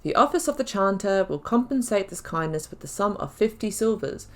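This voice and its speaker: A woman's voice: medium pitch, big pitch swings, fairly quick. A woman giving casual, self-deprecating commentary as she plays a video game.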